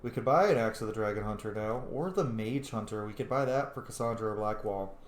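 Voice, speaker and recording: A man's voice: on the low side, brisk. A man giving casual gameplay commentary, thinking aloud and muttering to himself as he goes. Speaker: let's play host. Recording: roomy echo, faint background noise.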